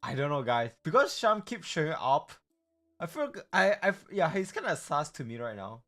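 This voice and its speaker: A woman streamer giving casual live game commentary in an informal, halting way with slang. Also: low-pitched, sing-song, fairly bright tone, faint music behind.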